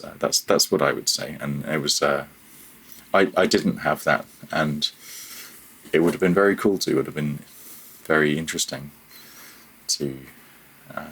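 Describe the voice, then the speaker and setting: A man's voice: extremely low pitch, slightly rough, rough recording. A man chatting casually as an interview guest, thinking aloud in a hesitant, self-deprecating way. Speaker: podcast guest, freelance audio developer.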